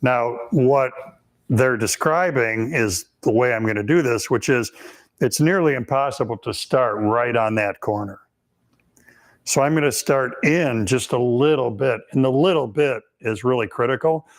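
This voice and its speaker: Raspy-voiced guy in his woodshop, mid pitch, lots of ums, explaining router and planer stuff step by step. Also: a little slow. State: raspy, hoarse voice. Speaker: woodworking instructor and host. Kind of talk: live Q&A with shop demonstration.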